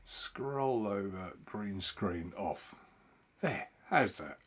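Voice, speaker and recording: A man's voice: fairly low pitch, animated, extremely slow, breathy edge. A man hosting a casual live stream from home, chatting in a rambling way and greeting viewers by name.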